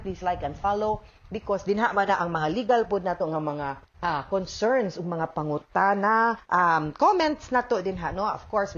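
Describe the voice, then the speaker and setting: A woman with a Cebuano Filipino accent hosting a radio advice programme as a lawyer, conversational, mixing in English phrases. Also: mid-range voice. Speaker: host, lawyer giving legal advice. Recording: dull band-limited audio, faint noise.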